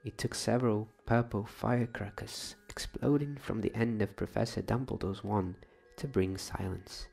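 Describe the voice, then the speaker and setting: A man hosting a soft-spoken ASMR bedtime story reading, hushed and often drifting toward a whisper. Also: lowish voice, fairly even intonation, moderate pace, creaky, few pauses, husky, soft background music.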